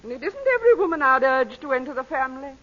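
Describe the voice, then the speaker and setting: Imperious aristocratic aunt: high, swooping delivery, blunt and commanding, dull vintage radio sound. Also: female voice, sing-song, rapid-fire. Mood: blunt, brisk. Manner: commanding, teasing, forthright. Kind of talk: 1930s radio acting. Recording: dull old radio recording.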